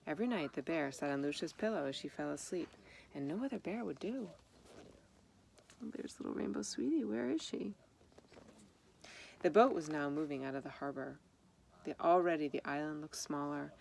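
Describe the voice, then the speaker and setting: A woman reading a children's story aloud in an expressive manner, with informal asides about the pictures. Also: sits low, a little fast, creaky. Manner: expressive, acts out dialogue. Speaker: storybook reader. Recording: noticeable background noise.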